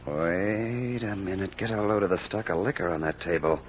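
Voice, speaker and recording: An actor playing a shrewd, persistent American detective in an old radio crime drama, probing and assured. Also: male voice, low voice, normal speed, slight background noise, close to an everyday voice. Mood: insistent. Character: shrewd, persistent male amateur sleuth questioning suspects. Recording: dull lo-fi old radio recording.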